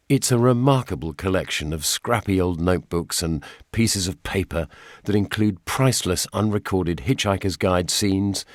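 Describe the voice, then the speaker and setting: A man's voice: sits low, dry recording, studio quality. An older man with a British accent reading a scripted radio documentary as its presenter. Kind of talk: scripted narration.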